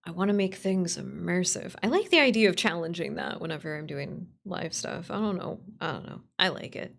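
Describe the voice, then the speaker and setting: A woman's voice: lowish voice, brisk, creaky, fairly bright tone. A woman livestreamer chatting casually and playfully with viewers, rambling and swearing as she goes. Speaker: streamer, writer and voice actor.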